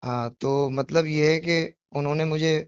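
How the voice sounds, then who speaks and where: A man's voice: fairly high pitch, fairly even intonation, a little slow, clear, resonant tone, no room sound. A man on a recorded phone call, speaking earnestly and apologetically, explaining himself insistently with repetitions and rhetorical questions. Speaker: devotional poetry reciter on a phone call.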